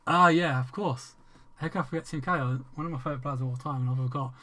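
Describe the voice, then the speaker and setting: A man's voice: on the high side, fast talker, sharp tone. A man with an English accent chatting casually with viewers during a game livestream, rambling, restarting sentences and swearing freely. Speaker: streamer.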